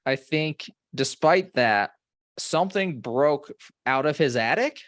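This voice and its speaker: A man's voice: a little high, animated, measured pace, a bit bright, dry recording, studio quality. A man co-hosting a comedy podcast, reading ghost stories aloud with wry, bantering asides. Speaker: podcast co-host.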